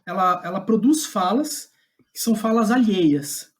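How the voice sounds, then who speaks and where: A man with a Brazilian Portuguese accent, reflecting in a podcast interview as a guest playwright, searching for his words as he goes. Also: sits high. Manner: halting, thoughtful, searching for words.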